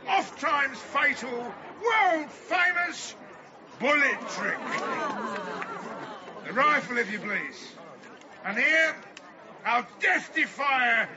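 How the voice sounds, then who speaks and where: A man playing a grandiose carnival ringmaster in a radio drama, declaiming with a showman's drawn-out phrasing. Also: very high voice, full character voice. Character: grandiose carnival ringmaster announcing a deadly act. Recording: lo-fi, noisy, crowd behind.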